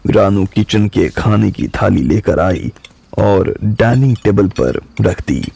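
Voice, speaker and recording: Male audiobook narrator, slow and measured, dark husky tone. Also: fairly low pitch, dry recording. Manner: calm, measured storytelling. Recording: soft music bed.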